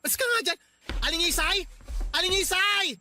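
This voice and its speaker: An actor playing a cruel, hot-tempered male landlord who scolds and shouts insults in a radio drama. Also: very high-pitched, normal speed, a little hiss or hum, exaggerated voice. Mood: angry, bullying. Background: music.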